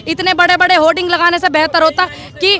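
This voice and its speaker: A woman at an outdoor street debate, arguing forcefully and firing rhetorical questions. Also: extremely high pitch, level tone, fast talker. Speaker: member of the public at a street debate.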